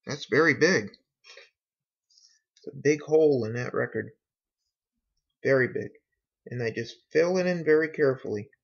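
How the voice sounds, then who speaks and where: Male hobbyist narrator, folksy and unhurried, mid-range voice, casual step-by-step delivery, muffled lo-fi home recording. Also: mid-range voice, vocal fry, slightly rough. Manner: unhurried, folksy, talking through each step. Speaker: hobbyist demonstrating a repair.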